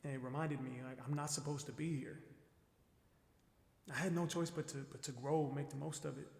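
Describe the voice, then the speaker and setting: An actor playing an earnest American man in his twenties, sharing his story in a radio play with a halting, reflective delivery. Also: on the high side, fairly even intonation, vocal fry, a little mellow. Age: 20s. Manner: halting, reflective, repeats phrases. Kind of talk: dramatized testimony in a radio play. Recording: echoey hall, music underneath.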